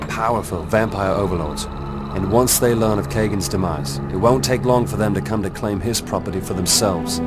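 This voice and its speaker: Low-voiced, serious strategist played by a man. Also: lightly acted. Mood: grave, urgent. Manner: earnest, measured explaining. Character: serious, analytical male adviser to a vampire hunter. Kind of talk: video game cutscene acting. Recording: loud music behind the voice.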